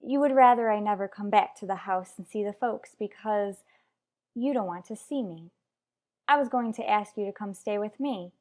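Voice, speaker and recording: A woman's voice: medium pitch, normal speed, a little mellow, close to an everyday voice. A woman playing an anxious, unhappily married young wife in a radio soap drama, defensive with her family. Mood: hurt, defensive.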